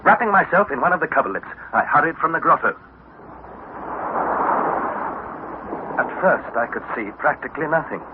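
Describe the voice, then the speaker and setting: An actor playing an eager young English nephew, narrating and speaking dramatically in the style of 1960s radio adventure. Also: male voice, on the high side, fast talker. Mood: awed. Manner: dramatic, articulate, excitable. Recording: tinny, noisy vintage radio recording.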